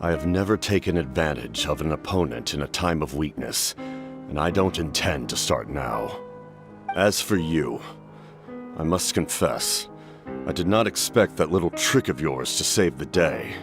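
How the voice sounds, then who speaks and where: A man playing a proud, imposing villainous war commander in a video game, haughty, deliberate and formal. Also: very deep voice, expressive, heavily acted. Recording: music behind the voice.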